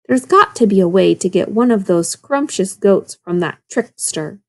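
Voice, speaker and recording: A woman's voice: mid-range voice, lively intonation, normal speed. Woman voicing a grumbling old storybook wolf. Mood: scheming. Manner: growling, grumbling. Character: hungry, grumpy old male wolf, stiff and weary. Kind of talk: storybook read-aloud.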